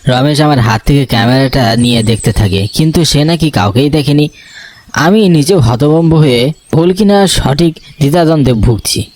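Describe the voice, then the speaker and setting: A man's voice: fairly high pitch, normal speed, a little mellow, slight background noise, lightly acted. An actor playing a nervous, curious young man narrating his own horror cartoon tale. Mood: uneasy, suspenseful. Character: nervous, curious young male photographer telling his ghost story.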